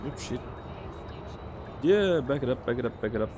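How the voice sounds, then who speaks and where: Laid-back Australian streamer chatting. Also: male voice, medium pitch, lively intonation, moderate pace, background noise. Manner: chatty, answering chat viewers between game actions. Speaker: game streamer. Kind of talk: casual live-stream gameplay chat.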